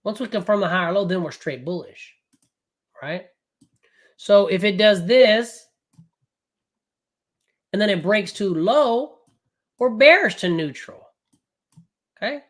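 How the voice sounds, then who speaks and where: A man running a live trading class, recovering from a cold, talking in a loud, brash and profane way with lots of rambling asides. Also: sits high, expressive. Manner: casual, fast and rambling, with frequent "right?". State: recovering from a cold, voice not fully back. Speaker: day trading coach.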